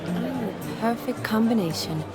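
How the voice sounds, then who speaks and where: Playful, higher-voiced young woman. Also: unhurried. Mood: teasing. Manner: teasing, expressive. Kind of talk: video game dramatic acting. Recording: loud music and crowd behind.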